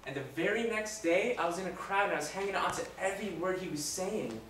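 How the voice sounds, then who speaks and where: A man's voice: high-pitched, quick pace, close to an everyday voice. Man acting a remorseful condemned robber, echoing and distant. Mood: regretful, agitated. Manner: impassioned, swinging from hushed confession to shouting. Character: repentant condemned robber crucified beside Jesus, rough-living, remorseful. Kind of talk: live dramatic monologue, first-person storytelling. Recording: very echoey room, distant mic, faint noise.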